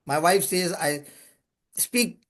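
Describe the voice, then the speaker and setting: An older man from Pakistan, an English speaker, telling long stories of his career in a relaxed way with small chuckles and restarted words. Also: sits high, takes it slowly. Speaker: guest, lubrication consultant. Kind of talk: podcast interview storytelling.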